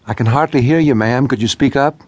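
A man's voice: medium pitch, fairly quick, no room sound, pitched above the performer's own voice, natural voice. A man voicing a young female police dispatcher, pressing questions urgently over the line in a true-crime audiobook.

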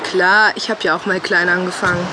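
A woman's voice: fairly low pitch, flat delivery, fairly quick, background noise, lightly acted. A woman playing a prim, capable older girl in a children's seafaring audio drama. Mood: helpful. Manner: snippy, a bit prim.

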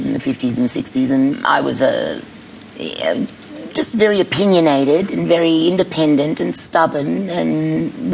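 A middle-aged woman with a husky voice, speaking bluntly and candidly as an interview guest. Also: deep voice, a little mellow, a little hiss or hum, low-quality recording. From Australia. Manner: blunt, candid, laughs easily. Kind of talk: casual chat.

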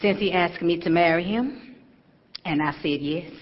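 A woman's voice: on the low side, moderate pace, long reverb tail, highs cut off, natural voice. An actress playing a stern, churchgoing mother who scolds in folksy, emphatic speech. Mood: guarded. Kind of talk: stage drama acting.

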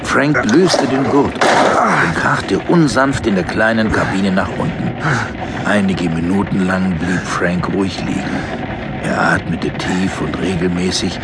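A man's voice: lowish voice, heavy background noise. A man giving dramatic scripted narration of a German horror audio drama. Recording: loud rain and thunder behind. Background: rainstorm with thunder.

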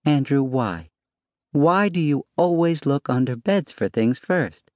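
A man voicing a calm, teasing middle-aged wife with a serene, coolly wry manner. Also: a little high, slow pace, dry recording, clean studio sound, voice pushed up.

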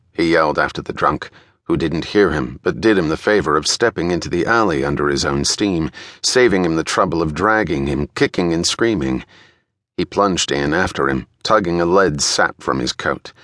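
A man narrates a thriller audiobook with drama. His voice sits very low yet has a tense, sharp edge, and the recording is very dry. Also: dry recording.

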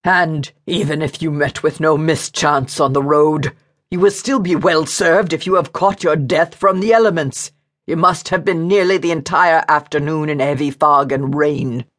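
Woman voicing a blustering, angry Regency gentleman. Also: low-pitched, normal speed. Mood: snarling. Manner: blustering, domineering, archaic upper-class wording. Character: angry, overbearing Regency gentleman, booming and scolding.

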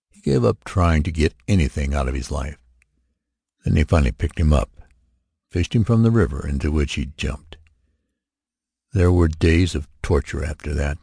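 Low, dark-voiced male storyteller. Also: gravelly, husky, no room sound, clean studio sound. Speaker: audiobook narrator. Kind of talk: scripted narration of a short story.

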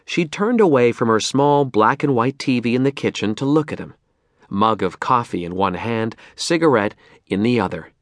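A man reading fiction as an audiobook narrator, in an expressive scripted style. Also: medium pitch, normal speed, no echo.

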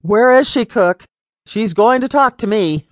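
A woman's voice: lowish voice, animated, normal speed, no room sound, natural voice. A woman voicing an angry wealthy father, raised and blustering with threats. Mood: raging.

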